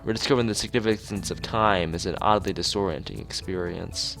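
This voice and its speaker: A man reading a visual novel aloud in an expressive narrating style, as a let's-player. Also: sits low, a little fast. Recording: music behind the voice. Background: clear background music.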